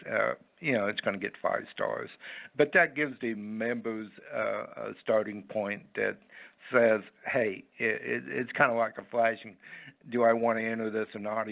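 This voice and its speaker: A man giving unscripted interview answers in a halting, rambling, folksy way over a phone line. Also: lowish voice, creaky. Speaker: interview guest, website owner. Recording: muffled, tinny phone line.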